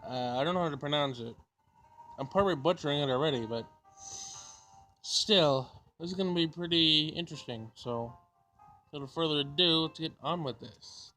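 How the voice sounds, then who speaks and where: A man with a somewhat high voice introduces a game as a let's-play host. His delivery is casual and informal, with a slightly hesitant flow. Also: normal speed, pushed and bright, faint music behind, low-quality recording.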